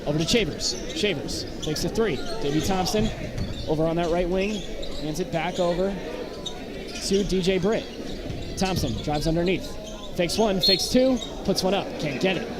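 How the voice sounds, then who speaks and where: A man doing live play-by-play commentary of a high school basketball game in short, clipped calls. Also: normal speed. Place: indoor high school gymnasium. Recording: loud gym crowd and court noise. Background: crowd, bouncing basketballs.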